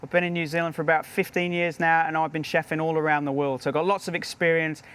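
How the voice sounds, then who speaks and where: Upbeat British chef with a higher voice. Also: male voice, fairly bright tone. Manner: upbeat, chatty, demonstrating as he cooks. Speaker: professional chef. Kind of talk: cooking demonstration.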